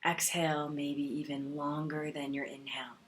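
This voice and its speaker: A woman teaching a guided meditation in a calm, soothing manner, leaving long pauses between phrases. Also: deep voice.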